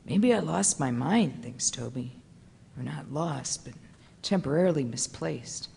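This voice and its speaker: Slow, theatrical woman narrator in reverberant hall. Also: deep voice, darkish tone, husky. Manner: theatrical, suspenseful, deliberate phrasing. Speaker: narrator, stage performer. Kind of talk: dramatic literary reading. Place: large theatre stage.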